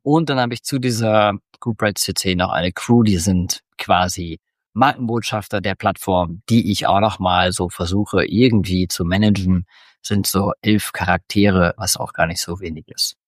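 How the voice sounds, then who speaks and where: A man in his forties from northwestern Germany, a guest on a podcast, talking in a relaxed, rambling way with many fillers. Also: low voice, moderate pace, no room sound, clean studio sound. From northwestern Germany near the Dutch border. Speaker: podcast guest, agency founder. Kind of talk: casual interview talk.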